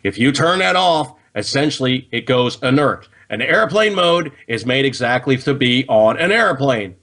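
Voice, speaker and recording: A man's voice: medium pitch, expressive, moderate pace, a bit bright. An American man hosting a casual, unscripted show, explaining things to viewers in a folksy, slangy, chatty way and often checking in as he goes. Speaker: host of a hobby gun and gadget show.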